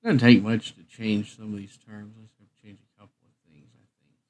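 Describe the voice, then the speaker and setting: A man's voice: lowish voice, level tone, fairly quick, darkish tone. A man hosting a live stream, chatting casually to viewers in a rambling, folksy way.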